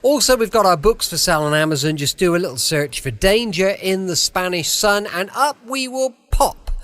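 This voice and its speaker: Lively English man chatting as host. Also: high voice, normal speed. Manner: rambling, jokey. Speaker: podcast host. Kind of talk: casual, chatty podcast introduction.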